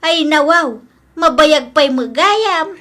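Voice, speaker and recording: A woman playing an aging, plaintive mother-in-law in an Ilocano comedy drama, with drawn-out exclamations and an Ilocano accent. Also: sits high, expressive, pushed and bright, full character voice. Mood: fond, wistful.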